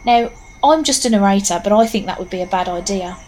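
A woman's voice: medium pitch, a little fast, a little mellow, a little hiss or hum, low-quality recording. A woman narrating a scripted story in a wry, conversational way with knowing asides.

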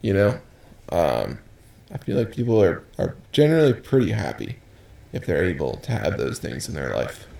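Gravelly, lowish-voiced young male interviewer. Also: very animated, normal speed, a little mellow. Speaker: interviewer, host. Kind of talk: casual chat.

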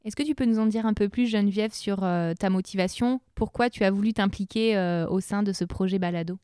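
A woman from the podcast team speaking in a halting way with many fillers. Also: mid-range voice, mellow, clean tone, dry recording, studio quality. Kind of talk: casual podcast chat.

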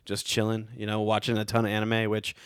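A man's voice: on the low side, completely flat delivery, brisk, clear, resonant tone, no room sound, studio quality. A young man hosting a casual podcast, easygoing and rambling with lots of fillers. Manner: laid-back, rambling with many fillers. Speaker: podcast host.

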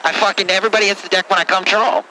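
A man's voice: sits high, level tone, rapid-fire, pushed and bright, rough recording. Man doing a brash, swaggering bro. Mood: boastful. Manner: crude, boastful, swaggering, slangy. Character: brash foul-mouthed East Coast party bro. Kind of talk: comic in-character storytelling.

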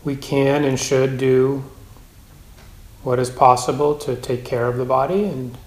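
A man's voice: medium pitch, steady tone. Man guiding meditation in an echoey room. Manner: measured, gentle instruction with long pauses. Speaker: meditation teacher. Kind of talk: guided meditation instruction. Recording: roomy, echoing space.

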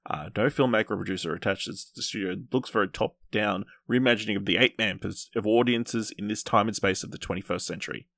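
A man's voice: on the low side, quick pace, vocal fry, few pauses, a bit bright, dry recording, clean studio sound. A man hosting a podcast, chatting casually about film and reading out news items.

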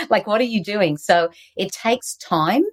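An Australian woman, a guest author on leadership, giving earnest, animated interview answers over a video call. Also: medium pitch, slow.

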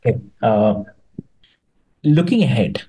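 A man's voice: medium pitch, expressive, takes it slowly, mellow, breathy edge, poor audio. A man hosting a podcast interview, speaking hesitantly with many fillers and restarts. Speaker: podcast host.

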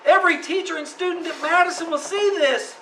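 A man playing an excitable, overwrought teenage schoolboy in an old-time radio comedy. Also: very high voice, a little fast. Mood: alarmed. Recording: distant live stage mic, background noise.

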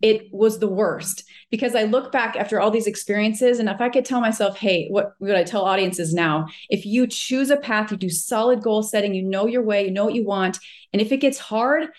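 A woman from Minnesota shares a life lesson with earnest, emphatic conviction, like a seasoned motivational speaker. Her voice sits at an ordinary middle pitch. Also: normal speed. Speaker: guest, mindset coach and speaker. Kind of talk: reflective storytelling.

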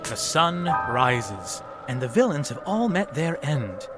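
A melodramatic male serial narrator speaks in a lightish, animated voice. He is portentous with a wink, over prominent music. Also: a little high, slow. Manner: portentous, tongue-in-cheek. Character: melodramatic noir radio narrator. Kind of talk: old-time radio serial narration.

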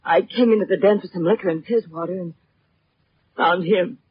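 A woman's voice: on the low side, normal speed, a little hiss or hum, lightly acted. An American woman playing an anxious, world-weary singer under suspicion in a 1940s radio drama, hesitant and defensive. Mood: nervous, defensive. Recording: dull, muffled 1940s radio recording.

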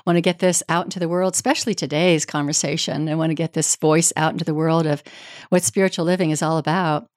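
A woman's voice: deep voice, steady tone, quick pace, no echo, clean studio sound. A woman hosting a spiritual podcast, introducing and questioning her guest enthusiastically. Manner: enthusiastic, warm, effusive. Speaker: podcast host, reverend. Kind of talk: podcast interview.

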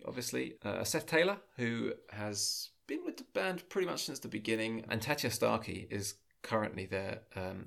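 Gravelly, lowish male podcast host. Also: a bit bright. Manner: chatty, self-deprecating, many small restarts. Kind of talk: casual chat and interview questions.

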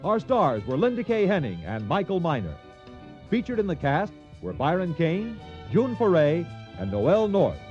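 High, animated male radio announcer. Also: moderate pace, highs cut off, soft background music. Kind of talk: formal scripted credits announcement.

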